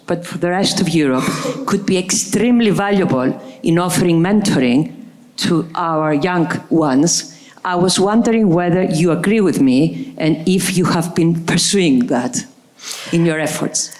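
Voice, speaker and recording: A woman's voice: on the low side, a little mellow, low-quality recording. A woman from Cyprus asking a panel a long, polite question from the audience. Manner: measured, polite, explanatory. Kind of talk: question from the floor.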